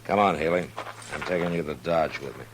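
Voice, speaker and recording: A frontier marshal with an extremely deep American voice speaks in a firm, measured, laconic way. It is old-time radio western drama, with a faint hum under the recording. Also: male voice. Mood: firm, grim. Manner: measured, laconic, plain-spoken. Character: steady, plain-spoken adult male Old West US marshal.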